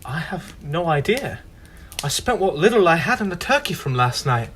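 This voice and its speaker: A man's voice: on the high side, very animated, moderate pace, fairly bright tone, slight background noise. A teenage boy playing a humble, deferential poor clerk and father in a school stage play, often stammering. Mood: flustered. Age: teens.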